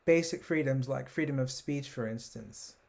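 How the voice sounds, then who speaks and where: A man with a Los Angeles accent hosts a conversational podcast. His voice sits at a middling pitch, and he puts his questions in a measured, inquisitive manner. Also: creaky. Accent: Los Angeles American. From Los Angeles, United States. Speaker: podcast host, interviewer. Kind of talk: conversational interviewing.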